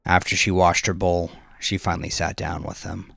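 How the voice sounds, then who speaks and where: A man's voice: low-pitched, flat delivery, normal speed, gravelly, a bit bright, no room sound, studio quality. A man narrating a story as a dramatic scripted audiobook reading.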